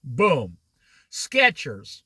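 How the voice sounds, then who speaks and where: A man's voice: fairly high pitch, wildly swooping pitch, drawn-out words, sharp tone, slightly rough, dry recording, studio quality. A man leading an informal Bible study, throwing out challenging rhetorical questions and jokey asides.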